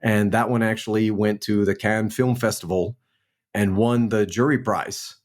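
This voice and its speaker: A man in his forties from New Orleans, a guest actor telling his career story in a relaxed, chatty way on a remote call. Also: on the low side, a little slow. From New Orleans, Louisiana. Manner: relaxed, storytelling, frequent "man" and "you know". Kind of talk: casual interview chat.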